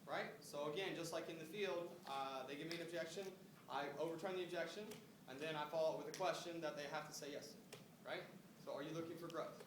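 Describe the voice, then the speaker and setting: A man with a high voice coaches recruiters through a casual phone script. He sounds upbeat and often checks in with short tag questions. The recording is roomy and distant, with noise behind him. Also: fairly even intonation, fast talker. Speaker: recruiting trainer making phone screening calls. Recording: roomy, distant mic, noisy background.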